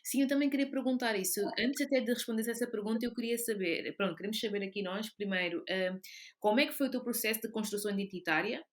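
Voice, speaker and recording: A woman's voice: fairly high pitch, fast talker. A woman with a European Portuguese accent hosting a podcast interview, asking long, rambling questions in a conversational way. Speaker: podcast host.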